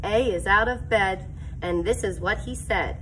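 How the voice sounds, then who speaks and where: A middle-aged woman teacher reading a picture book aloud to young children, expressive and sing-song, inviting them to join in. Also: mid-range voice, unhurried, a little hiss or hum, low-quality recording. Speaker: elementary teacher. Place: school classroom, indoors.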